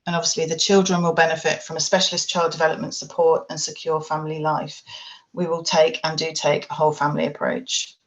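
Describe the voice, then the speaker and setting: A woman, a charity chief executive, giving a measured webinar presentation from prepared remarks. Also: low-pitched, little pitch movement, poor audio.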